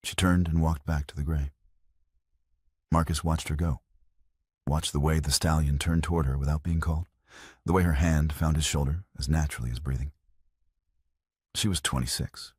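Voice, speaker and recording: A man's voice: a bass voice, a little mellow, husky, dry recording, clean studio sound. A man reading a scripted romance story as an audiobook narrator.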